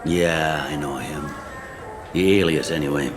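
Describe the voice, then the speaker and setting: A man's voice: rumbling low, steady tone, unhurried, noisy background. A man voicing a wry security officer in a video game. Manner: dry, wry.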